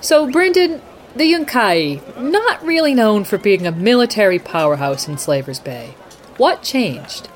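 A woman acts as a composed female anchor for a fantasy war bulletin in a radio play. She asks formal, probing questions with lively, swinging intonation. Faint noise sits under the voice. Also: medium pitch, a little slow. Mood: businesslike. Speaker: host, news anchor. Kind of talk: radio news broadcast roleplay.

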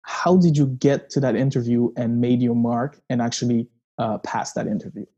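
A man from Haiti whose first language is Haitian Creole, hosting an interview and asking questions in a halting way with many pauses. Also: mid-range voice, fairly even intonation, mellow, slightly rough, dull audio. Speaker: interview host. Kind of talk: interview conversation.

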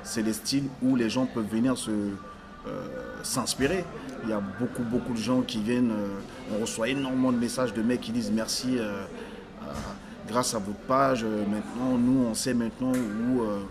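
A man born in Africa, a stylist talking as an interview guest, halting and restarting his phrases as he searches for words. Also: medium pitch, fairly even intonation, music in the background. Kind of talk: casual interview conversation.

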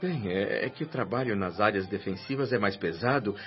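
An actor with a Brazilian accent playing an earnest, humble newcomer in a radio drama, deferential and a little hesitant. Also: male voice, on the low side, brisk, slightly rough, faint music behind. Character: earnest, humble male newcomer eager to volunteer. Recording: dull lo-fi radio drama sound.

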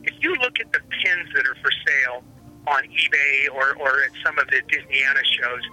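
An actor playing an eager, rambling trivia storyteller in an audio drama, launching into anecdotes. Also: male voice, mid-range voice, normal speed, pushed and bright, poor audio.

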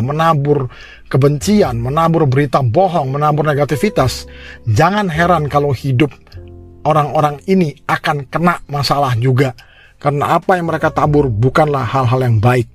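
Indonesian man preaching over prominent music. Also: on the high side. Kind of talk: informal sermon, direct advice to listeners.

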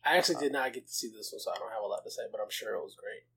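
A man co-hosting a podcast, teasing the others with quick interjections. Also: on the low side, a bit bright, slightly rough. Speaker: podcast co-host. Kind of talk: casual chat.

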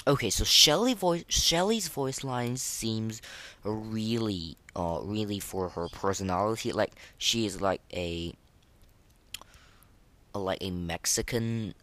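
Low-pitched man, halting casual podcaster. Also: bright, forceful tone. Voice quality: voice that cracks now and then. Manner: halting, repetitive, informal delivery. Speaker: podcast host. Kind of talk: casual unscripted gaming podcast.

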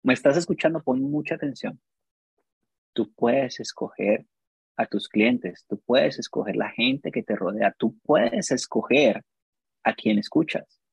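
A man's voice: mid-range voice, animated, unhurried, vocal fry, husky, no echo. A man with a Colombian accent, a business coach in casual podcast chat, telling stories and acting out both sides of conversations with emphasis. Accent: Colombian Spanish. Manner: storytelling, acts out dialogue, emphatic.

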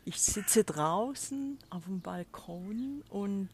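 A woman hosting a spiritual podcast, talking in a soft, breathy, reflective way from a balcony with birds behind her. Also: medium pitch, lively intonation, slow pace, slight background noise. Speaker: podcast host. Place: outdoors on a balcony. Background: birds and a crow.

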